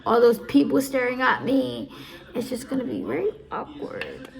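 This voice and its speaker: Young woman with a gravelly voice. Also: high-pitched, normal speed, poor audio. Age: early 20s. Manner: rambling, easily distracted, repeats herself. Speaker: audio live streamer. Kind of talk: casual advice talk.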